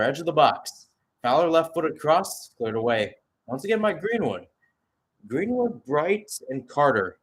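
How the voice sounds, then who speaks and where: A man's voice: mid-range voice, fairly bright tone. A man giving live play-by-play commentary on a soccer match, conversational between calls with asides and statistics.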